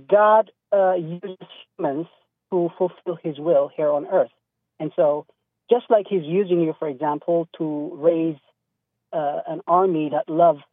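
High-voiced guy from east africa calling in, lots of uhs and restarts, tinny dry phone sound. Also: dry recording. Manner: hesitant, many fillers and restarts. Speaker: caller. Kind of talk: call-in radio conversation.

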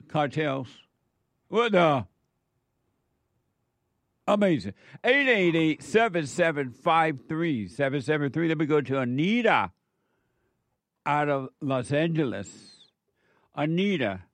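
A man's voice: mid-range voice, dry recording. A man hosting a call-in talk radio show, talking in a brash, joking way and laughing often.

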